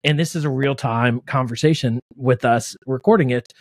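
A middle-pitched man in his forties hosts a casual podcast from a home studio. His voice is close and dry on a large studio mic. He talks in an easy, storytelling way. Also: no room sound. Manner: affirming, quick backchannels. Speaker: podcast host. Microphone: large studio mic with headphones.

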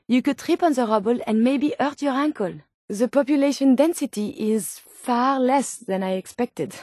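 A high, dryly recorded voice of an earnest female robot scientist for a video game. She is endlessly curious and polite, choosing precise, bookish words with naive sincerity. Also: darkish tone, no room sound, clean studio sound. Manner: precise, formal, bookish phrasing. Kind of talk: video game companion dialogue.

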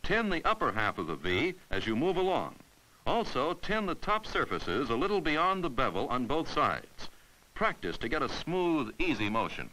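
A man narrating an old instructional film in a bright, forceful voice that rises and falls with lively pitch. He explains each step patiently and clearly, like a 1950s classroom reel. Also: moderate pace, poor audio. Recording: 1950s educational film soundtrack.

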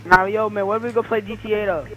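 A young man heckling his friends in loud, brash, slang-heavy trash talk while gaming. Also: high voice, fairly even intonation, fast, rough recording. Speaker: fellow player.

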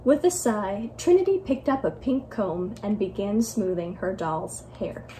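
A young American woman reading a children's story aloud at home as a library storyteller, expressive and carefully enunciated. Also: medium pitch, a little slow, background noise. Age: young adult. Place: living room at home, indoors.

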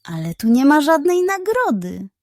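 A woman voicing a naive, proud and excitable hen with a thin squeaky voice in a children's storybook. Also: normal speed, no room sound, studio quality. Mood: puzzled.

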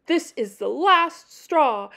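Woman voicing an anxious, jealous little porcupine, animated and high. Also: slow, creaky, exaggerated voice. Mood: indignant. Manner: dramatic, emphatic. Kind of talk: children's picture-book character voice.

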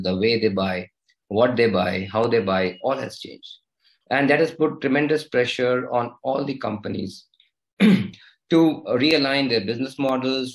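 A man's voice: medium pitch, poor audio. A man, speaking as a panelist from a home study, laying out points in emphatic series. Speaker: panelist, HR head. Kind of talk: online panel discussion. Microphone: laptop video call.

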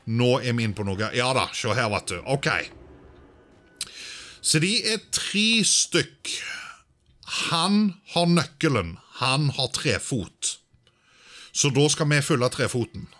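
A man's voice: medium pitch, sing-song, long pauses, fairly bright tone. A man doing casual let's-play commentary, thinking aloud and sprinkling in English exclamations. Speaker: gaming video host.

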